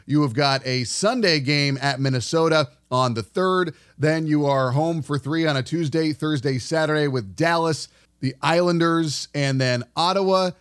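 A man's voice: on the high side, clean tone. A man hosting a sports podcast, talking through a monologue in a conversational, emphatic way. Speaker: podcast host.

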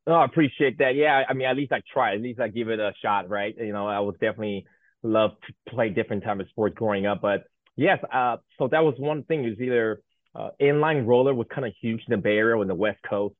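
A man's voice: fairly low pitch, fast, dry recording. Man from Taiwan on a tinny line. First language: Mandarin. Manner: rambling, many fillers and restarts. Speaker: guest, Paralympic sled hockey goaltender. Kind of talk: casual interview chat.

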